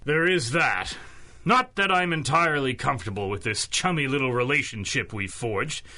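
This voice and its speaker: A man's voice: mid-range voice, expressive, moderate pace, sharp tone. A man acting an exasperated, sardonic senior policeman with a dry, commanding manner in a 1930s-style radio drama. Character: exasperated, sardonic veteran police officer in charge.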